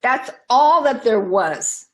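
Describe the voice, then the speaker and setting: A woman storyteller reading aloud for children in a dramatic, deliberate, expressive way. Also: fairly high pitch.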